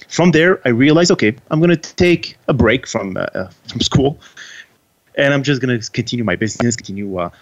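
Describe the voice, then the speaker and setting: A man's voice: medium pitch, highs cut off. A man with a Quebec French accent, a native French speaker, answering interview questions in English in an earnest way with frequent restarts. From Quebec, Canada. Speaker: guest author.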